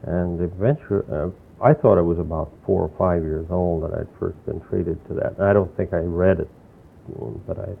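A man's voice: sits low, steady tone, moderate pace, vocal fry. An older man from New York, recalling his childhood in a rambling, reflective way during an oral history interview. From New York, USA. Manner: rambling, reflective storytelling with restarts. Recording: tinny phone-like lo-fi recording with faint hiss.

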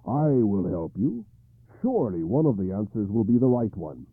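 A man's voice: fairly low pitch, sing-song, moderate pace, husky, dry recording, narrow, tinny audio, exaggerated voice. A man voicing a loyal, confident young knight who reassures his king.

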